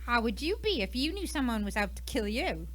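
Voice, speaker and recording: Woman acting excitable young companion, exclamatory, radio whodunit, high pitch, swinging intonation. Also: brisk. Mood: sympathetic. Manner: exclamatory, animated. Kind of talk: amateur radio mystery acting.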